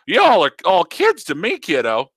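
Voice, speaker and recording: A man's voice: sits high, huge pitch swings, unhurried, fairly bright tone, dry recording, studio quality, pitched above the performer's own voice, full character voice. A man voicing a cocky, ancient immortal man who teases everyone breezily. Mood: teasing. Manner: breezy and teasing.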